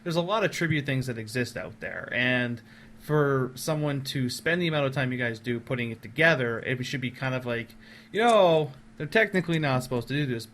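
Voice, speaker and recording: A man's voice: mid-range voice, expressive, a little fast, fairly bright tone. Effusive male podcast host, noisy background. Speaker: podcast host interviewing guests. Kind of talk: casual podcast chat.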